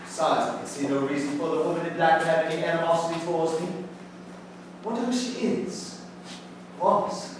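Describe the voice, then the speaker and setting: A man performs a politely formal young solicitor with a stubborn streak, in period stage style. The voice is high for a man, distant and swimming in hall echo, over faint noise. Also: brisk, close to an everyday voice. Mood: determined. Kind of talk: period stage play acting. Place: indoor theatre stage, mic far off. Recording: very echoey theatre, distant mic, faint noise.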